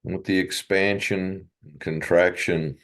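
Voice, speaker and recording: A man leading a guided meditation in a gentle, unhurried way, leaving long pauses between short phrases. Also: low voice, fairly even intonation.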